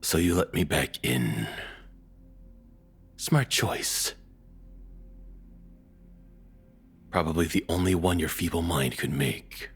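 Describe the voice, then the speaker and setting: A man playing a controlling, cruel ex-boyfriend in an intimate audio roleplay, with a husky, rough-edged voice and a taunting, domineering, deliberate delivery. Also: very deep voice, animated, full character voice. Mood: mocking, possessive.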